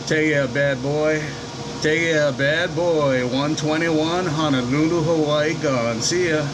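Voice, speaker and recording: Higher-pitched man on CB radio, heavy noise. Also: steady tone, takes it slowly. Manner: repetitive call signs and slangy catchphrases. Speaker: CB radio operator. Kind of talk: CB radio chatter, sign-offs. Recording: very noisy, engine and air-conditioning hum.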